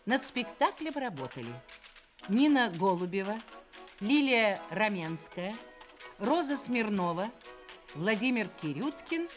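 Женщина-диктор радио размеренно читает по тексту объявление с титрами. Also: female voice, medium pitch, big pitch swings, unhurried. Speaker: radio announcer. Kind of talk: scripted credits announcement. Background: faint music.

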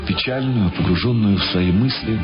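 A man's voice: lowish voice, normal speed. A man reading scripted literary narration in the manner of a radio drama. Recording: dull lo-fi radio sound. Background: music behind the voice.

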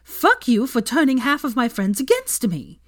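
A woman's voice: fairly high pitch, huge pitch swings, normal speed, fairly bright tone, pitched above the performer's own voice, exaggerated voice. A woman voicing a fierce, cutting young woman in a fury, in a fan fiction audiobook. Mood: rising fury.

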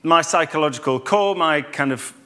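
A man, giving a calm, conversational church talk with fillers and restarts. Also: on the high side, normal speed. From Yorkshire, England. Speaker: church speaker.

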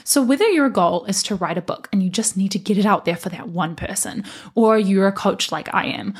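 A young woman hosts her own coaching podcast, speaking earnestly and emphatically to encourage her listeners. Her voice is breathy with a slight husk and sits in a middle pitch. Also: animated, brisk. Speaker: podcast host and life coach. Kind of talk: solo motivational podcast talk.

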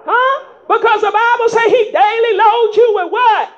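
A woman preaching a sermon in folksy vernacular, rising into shouts and prodding the congregation with repeated calls of "huh?" in a dull lo-fi recording. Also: extremely high pitch, normal speed. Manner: emphatic call-and-response preaching with frequent "huh?" prompts.

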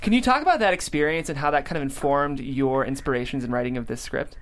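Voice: medium pitch, fast talker. An enthusiastic, chatty host conducting a live vodcast interview.

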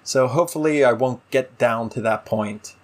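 A male podcast co-host talks casually about a TV show. His voice sits at an average pitch for a man. He states his views emphatically and with clear opinion. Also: normal speed. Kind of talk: casual TV episode discussion.

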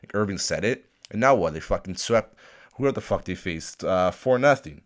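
A very deep-voiced man with a blocked, stuffy nose rants alone into a desk mic. He swears nearly every sentence and rambles from thought to thought in an excitable, casual way. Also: moderate pace. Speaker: podcast host. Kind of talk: casual solo rant. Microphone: desk microphone at a laptop.

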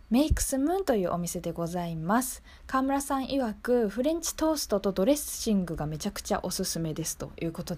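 A woman's voice: mid-range voice, a little mellow. A woman hosting a local radio show in a casual, chatty, conversational way.

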